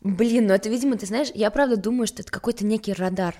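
A woman's voice: medium pitch, fast talker, mellow. A young woman from Sweden, a model and interview guest, talking candidly and self-mockingly in a casual rambling way. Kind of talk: casual chat.

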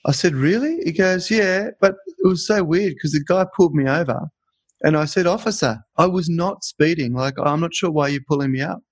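A man's voice: fairly high pitch, moderate pace, no echo. A man hosting an interview, chatting casually and telling a joke story. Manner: relaxed storyteller, tells jokes. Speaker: podcast host.

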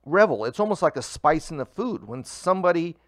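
Relaxed man, dry close sound. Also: fairly high pitch, a little fast, dry recording. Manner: relaxed, rambling with fillers. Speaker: food truck owner. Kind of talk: casual interview talk.

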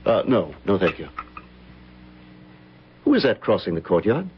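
A man acting in an old-time radio drama as an earnest, courteous gentleman, narrating and speaking in a formal, theatrical manner. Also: lowish voice, huge pitch swings, normal speed, slightly rough, heavily acted. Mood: polite, curious. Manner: formal, theatrical, solemn, hesitant. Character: earnest, courteous gentleman recounting a haunting memory. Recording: dull old radio broadcast, music behind.

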